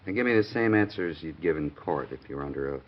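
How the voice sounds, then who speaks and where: A calm, deep-voiced police sergeant from a 1950s radio drama. He questions and explains in a measured way. The old broadcast sounds dull and muffled. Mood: probing.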